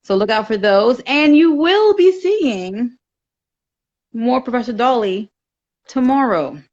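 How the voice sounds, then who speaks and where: A woman's voice: on the high side, expressive, slow pace, darkish tone. A woman, creator and director of a series, hosting a casual interview and promoting her show, laughing easily. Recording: dull lo-fi audio.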